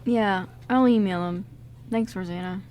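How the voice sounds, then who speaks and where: A woman's voice: mid-range voice, unhurried, a little mellow, close to an everyday voice. A woman playing an insecure, earnest young man in an amateur radio drama. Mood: subdued, caring. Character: insecure, guilt-ridden young man, a loyal buddy.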